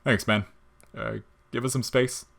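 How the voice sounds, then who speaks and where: A man's voice: medium pitch, fairly even intonation, unhurried, gravelly, fairly bright tone, pitched above the performer's own voice. A man voicing a wry, world-weary skeleton monster who talks in sardonic slang in an audio story, jolly on top and bitter underneath. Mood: reluctant. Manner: slangy, sardonic, explaining things casually.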